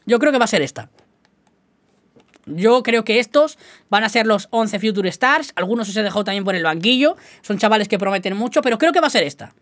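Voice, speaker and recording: A man's voice: high-pitched, lively intonation, fairly quick, a bit bright, no echo. Chatty young man with a Galician lilt. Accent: Galician-tinged Spanish. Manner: chatty, rambling, self-mocking, keeps addressing the viewers. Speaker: gaming YouTuber. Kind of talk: casual gaming commentary to viewers.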